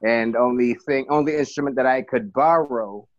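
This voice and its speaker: A man from the Philippines speaks in a mid-pitched, relaxed voice, rambling and restarting as he chats. He laughs easily. The sound is a little dull, like a remote call. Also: moderate pace. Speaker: musician guest. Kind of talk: casual interview.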